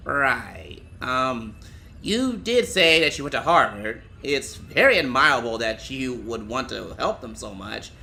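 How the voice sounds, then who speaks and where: A man plays an awkward, well-meaning boss in an audio drama. His mid-pitched voice is very sharp and bright, stumbling hesitantly over words, with clear noise behind it. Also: very animated, a little slow, heavily acted. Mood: awkward, polite. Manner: hesitant, stammering. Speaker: voice actor.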